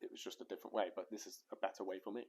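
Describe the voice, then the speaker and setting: Rambling, jokey man with a low voice. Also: flat delivery, rapid-fire, vocal fry, darkish tone. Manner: rambling, repeats words, jokey. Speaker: podcast co-host. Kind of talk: casual podcast conversation.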